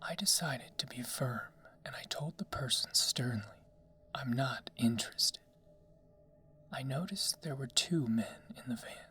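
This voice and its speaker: A narrator reading a scary story in a hushed ASMR whisper, slow and deliberate. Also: medium pitch, expressive. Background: background music.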